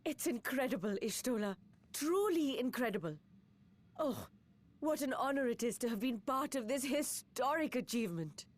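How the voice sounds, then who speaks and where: A woman's voice: high-pitched, very animated, normal speed. A woman playing an earnest, admiring scholar in a fantasy video game, gushing with reverence. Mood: awed.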